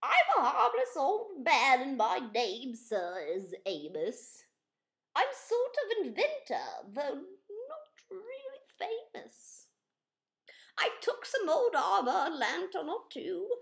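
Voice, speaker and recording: Woman doing a timid old inventor, high thin voice, fretful, storybook. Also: fairly bright tone, exaggerated voice.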